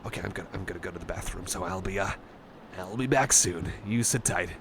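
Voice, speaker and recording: ASMR roleplay casting: anxious male cyborg pal played by a man, creaky voice, hesitant and rambling, over clear background noise. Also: low-pitched, fast. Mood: relieved, shyly eager. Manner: stammering, hesitant, apologetic, rambling. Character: anxious, friendly male cyborg buddy frightened of thunderstorms. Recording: noisy, storm-like ambience behind.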